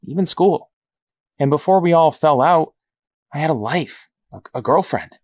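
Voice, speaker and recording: A man playing a cocky but regretful young male hero in an amateur audio drama, talking in a casual, halting way. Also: a little high, lively intonation, darkish tone, no echo, clean studio sound. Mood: casual, wry.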